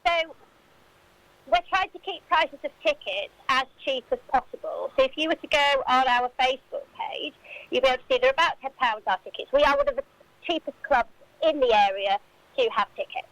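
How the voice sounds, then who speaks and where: High-pitched woman, radio interview guest. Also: lively intonation, brisk, a bit bright, no echo, poor audio. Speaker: football club spokesperson, interview guest.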